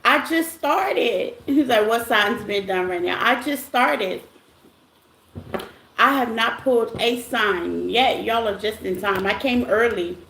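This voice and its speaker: A woman hosts a relaxed livestream. Her voice is scratchy and gravelly and pitched a little high. She greets her viewers one by one in an echoey room with a faint hiss behind her. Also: slow pace. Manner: greets chatters by name, loose and chatty. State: voice rough after a silent morning. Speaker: tarot reader hosting a live stream. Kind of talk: casual livestream chat with viewers.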